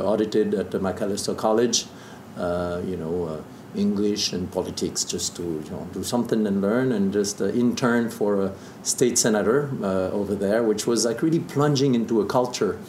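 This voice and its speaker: Male, 50s, French accent, native French speaker, lower register, casual storyteller. Echoey room, some background noise. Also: moderate pace, a little mellow. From southern Burgundy, France. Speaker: interview guest, distiller.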